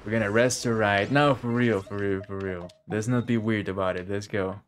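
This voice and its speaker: A man's voice: on the low side, steady tone, normal speed, slight background noise. A man doing casual live game commentary as a streamer, chatty and informal, repeating himself and swearing lightly.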